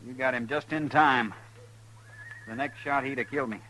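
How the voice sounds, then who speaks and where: An actor playing a wary, stubborn cowboy on the run, plain-spoken with a rural Western American accent, in an old radio western. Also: male voice, medium pitch, fairly even intonation, moderate pace, bright, forceful tone, close to an everyday voice, rough recording. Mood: shaken. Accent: rural American West. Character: wary, stubborn cowboy fugitive wrongly wanted for murder. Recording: vintage radio, faint noise and hum.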